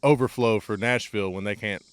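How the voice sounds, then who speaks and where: A man's voice: lowish voice, fairly even intonation, fairly quick, no room sound. A man, a guitarist and songwriter in a band, telling stories about recording in an easygoing, plainspoken way. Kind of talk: casual chat.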